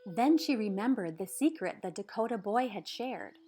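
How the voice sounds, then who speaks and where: A woman's voice: medium pitch, expressive, moderate pace, mellow. Woman reading a storybook over music. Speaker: storyteller reading a picture book aloud. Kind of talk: expressive scripted storybook narration.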